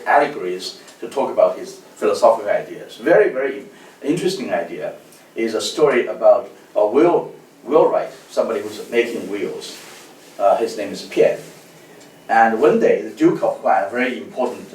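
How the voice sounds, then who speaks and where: A man gives a university lecture as a guest lecturer, telling stories in an animated way with many fillers and restarts. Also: on the low side, slight background noise. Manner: lively storytelling, frequent fillers and restarts. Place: lecture hall. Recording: echoey hall, distant mic.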